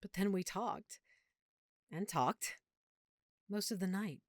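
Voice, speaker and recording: A woman playing a lovesick, guilt-ridden vampire girlfriend in an intimate audio roleplay, speaking tenderly and confidingly with dramatic pauses. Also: medium pitch, a little mellow, dry recording, clean studio sound. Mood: confessional, yearning. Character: lovesick, guilt-ridden female vampire hiding her nature from boyfriend.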